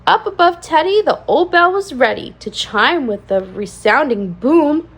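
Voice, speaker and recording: A woman with a high voice reads a picture book to young children. Her delivery swings widely up and down, with a singsong rhyming cadence and plenty of expressive emphasis on key words. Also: unhurried. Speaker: children's read-aloud channel host. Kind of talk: animated storybook read-aloud for young children.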